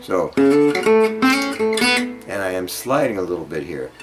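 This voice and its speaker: A man giving an informal guitar lesson, explaining haltingly and checking in with the listener as he demonstrates phrases. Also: sits low, sing-song, low-quality recording. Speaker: guitar teacher. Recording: loud acoustic guitar alongside the voice. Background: guitar being played between phrases.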